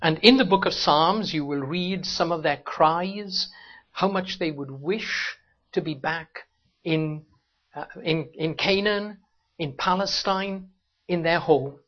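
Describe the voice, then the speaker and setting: A man's voice: takes it slowly, muffled recording. A Welsh man preaching a sermon in a church, talking to the congregation in a conversational way and asking rhetorical questions. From Wales. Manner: conversational, rhetorical questions, rises sharply in pitch for emphasis. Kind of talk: sermon with opening prayer. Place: church hall.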